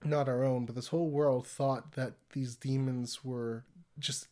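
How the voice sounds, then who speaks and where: A man hosting a podcast, sounding congested from a cold, telling stories in a rambling, animated way. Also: medium pitch, measured pace. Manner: rambling, animated storytelling with laughs. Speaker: podcast host. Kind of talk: casual conversational podcast.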